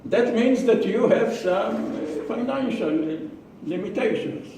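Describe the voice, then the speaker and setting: A man with an Israeli accent giving a prepared speech to a club, slow and deliberate, with pauses and repeated words. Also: high voice, lively intonation, dark tone, slight background noise. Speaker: club speaker. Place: meeting room. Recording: echoey room from far off.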